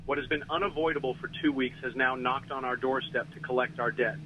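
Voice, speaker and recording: Man playing a grave, even-toned weather narrator. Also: medium pitch, fairly quick, a little hiss or hum, lightly acted. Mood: ominous. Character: grave, foreboding male weather scenario narrator. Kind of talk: scripted dramatic narration. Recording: dull lo-fi audio over music.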